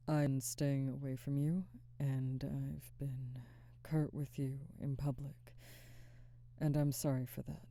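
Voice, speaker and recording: A young woman playing a guarded, burdened werewolf pack alpha in a soft, hesitant, intimate ASMR roleplay close to the microphone. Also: a bass voice, no room sound, full character voice. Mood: apologetic, burdened. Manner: hesitant, soft-spoken, halting, breathy sighs. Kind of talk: ASMR audio roleplay, intimate scripted monologue. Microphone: close microphone, dry.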